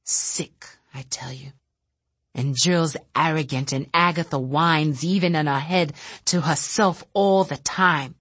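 Lady doing a nervous frail young telepath guy, wailing, husky, dry sound. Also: deep voice, slow pace, a bit bright, no room sound, heavily acted. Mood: bitter, hushed. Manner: frantic, wailing, then bitter and snappish.

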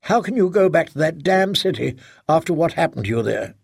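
A man's voice: on the high side, expressive, a little fast, vocal fry, husky, no room sound, clean studio sound, voice pushed up, full character voice. A man playing a protective, worried father in an audiobook, earnest and tender with his grown daughter. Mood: alarmed, disbelieving. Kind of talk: dramatic audiobook character reading.

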